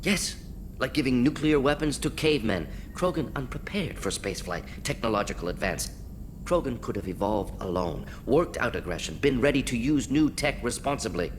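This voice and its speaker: A man voicing a brilliant, restless male alien scientist in a video game, talking in clipped, telegraphic bursts and earnest rapid-fire lists. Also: mid-range voice, lively intonation, a little hiss or hum, close to an everyday voice. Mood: critical, intense.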